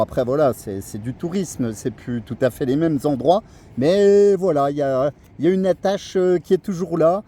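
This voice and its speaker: Hesitant middle-aged man, soft mellow voice. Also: a little high, slight background noise. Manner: hesitant, searching for words. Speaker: amateur CB radio operator. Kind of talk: casual radio chat.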